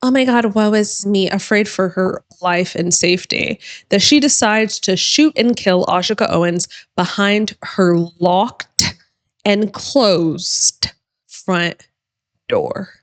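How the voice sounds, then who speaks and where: A woman lawyer host talks in a casual, emphatic way, and her voice is going hoarse. She speaks directly to her viewers. The sound is dry and close. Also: mid-range voice, slow, no room sound. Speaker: criminal attorney and channel host. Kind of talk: casual reaction commentary to camera.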